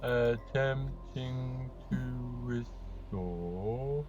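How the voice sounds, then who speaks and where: A man playing a failing, damaged artificial intelligence in an audio drama, speaking in broken, halting machine phrases with a muffled sound. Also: medium pitch, music in the background. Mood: failing, faltering. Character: failing male AI overseer, faltering and damaged.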